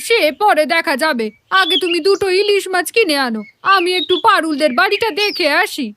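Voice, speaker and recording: Woman voicing a shrill, sharp-tongued village wife. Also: animated, a little fast, noisy background. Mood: bossy. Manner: scolding, sarcastic, taunting. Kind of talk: Bengali moral-story cartoon dubbing.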